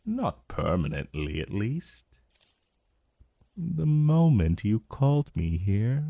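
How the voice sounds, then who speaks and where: A man playing an ancient dragon in a romantic fantasy ASMR roleplay, possessive and menacing yet tender, with breathy, drawn-out, condescending endearments. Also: medium pitch, dark tone, dry recording, highs cut off, exaggerated voice. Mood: smug, menacing.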